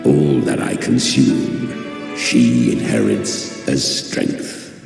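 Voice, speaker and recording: An actor playing an ancient devouring demon beast in a video game, with a growling voice and archaic, ominous, menacing speech. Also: male voice, steady tone, extremely slow, heavily acted. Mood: ominous, grave. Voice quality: very deep, gravelly growl. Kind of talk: dramatic video game villain narration. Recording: cavernous reverb, music underneath.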